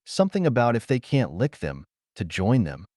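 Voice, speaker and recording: A man voicing a composed, teasing, unabashed woman in a pulp science fiction audiobook, matter-of-fact and gently provoking. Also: mid-range voice, huge pitch swings, normal speed, no echo, studio quality.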